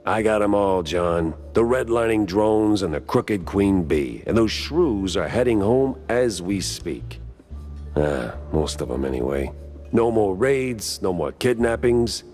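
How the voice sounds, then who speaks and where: An actor playing a hard-boiled, world-weary mouse private detective in a noir cartoon, with a low, gruff American voice and a wry, laconic, slangy way of talking. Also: male voice, expressive, a little slow, darkish tone, faint music behind. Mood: wry, tired. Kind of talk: 1930s noir detective acting with brooding voice-over.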